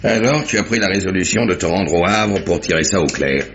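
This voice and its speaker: Jovial detective chief, low voice, wry, decisive, old radio drama, dull recording. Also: male voice, expressive, fairly quick, fairly bright tone, a little hiss or hum. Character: jovial, commanding male detective in charge. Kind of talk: old-fashioned French radio drama acting.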